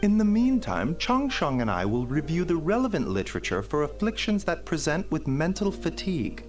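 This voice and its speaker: A gentle, scholarly male doctor in a video game. The voice sits fairly high and swoops dramatically in pitch, with measured, articulate musing. Soft music runs behind. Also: wildly swooping pitch. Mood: grave, reassuring. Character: gentle, courteous, thoughtful male physician.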